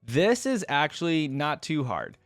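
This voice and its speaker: A man's voice: on the high side, wildly swooping pitch, unhurried, fairly bright tone, no room sound, studio quality, soft background music. A man giving casual reaction commentary as a video host, thinking aloud in informal, slangy language.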